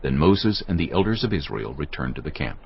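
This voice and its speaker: A man narrating scripture from a script in a formal, measured storytelling manner. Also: extremely low pitch, very animated, gravelly, breathy edge, muffled recording. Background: music.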